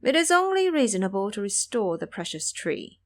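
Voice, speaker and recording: Calm, authoritative female deity voiced by a woman narrator, middle-pitched, deliberate, for fantasy audio drama. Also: close to an everyday voice. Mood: serene.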